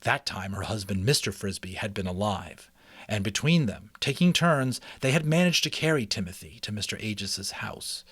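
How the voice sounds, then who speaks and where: Low-voiced man reading a story aloud. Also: animated, moderate pace, fairly bright tone, slightly rough, dry recording. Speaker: audiobook narrator. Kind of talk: dramatic children's book reading with chatty asides.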